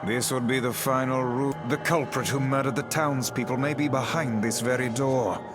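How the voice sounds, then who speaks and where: A man's voice: medium pitch, steady tone, moderate pace, natural voice. Man voicing a pompous talking book. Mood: grave. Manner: formal, archaic, pompous diction. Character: pompous, learned magical talking book, male companion. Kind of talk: video game voice acting. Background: game music.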